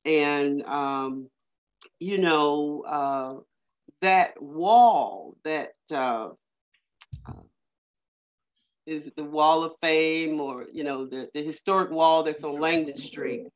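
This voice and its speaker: A woman's voice: sits low, takes it slowly, long pauses. Hesitant woman on a muffled call. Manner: rambling, hesitant, many fillers. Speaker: community working group member. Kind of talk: casual meeting remarks. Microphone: video call. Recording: muffled lo-fi video call audio.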